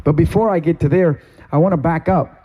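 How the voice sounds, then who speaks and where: A middle-aged man from Cuba, a pastor giving a sermon testimony from a stage, with slow, deliberate, emphatic phrasing. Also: on the high side, dull audio. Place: stage in a meeting hall.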